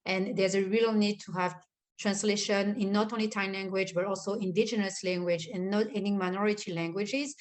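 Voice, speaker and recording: A woman moderates a panel discussion in a mid-pitched voice. She speaks off the cuff and emphatically, repeating phrases to press her points. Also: steady tone, a little fast.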